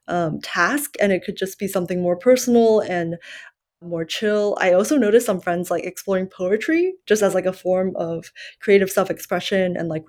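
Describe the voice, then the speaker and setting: Podcast host woman from China, fairly low voice, reflective and rambling, lots of fillers. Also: darkish tone. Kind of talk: casual podcast conversation.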